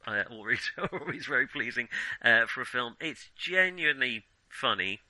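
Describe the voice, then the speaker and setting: A man's voice: mid-range voice, lively intonation, normal speed, pushed and bright, dry recording. A middle-aged British man co-hosting a film podcast in casual conversation, hesitant and thinking aloud as he goes.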